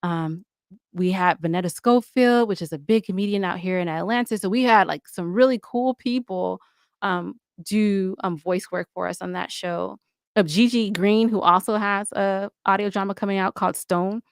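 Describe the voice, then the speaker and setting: A woman's voice: medium pitch, moderate pace, clear, resonant tone, no echo, clean studio sound. A middle-aged woman talking casually as a podcast guest, chatty and candid, with playful asides. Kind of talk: casual interview talk.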